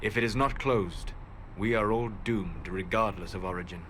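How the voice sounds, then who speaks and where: An actor playing a calm, learned, enigmatic mage in a fantasy video game, formal and measured. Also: male voice, fairly low pitch, natural voice. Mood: calm, grave. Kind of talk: dramatic video game acting. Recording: constant clear background ambience noise.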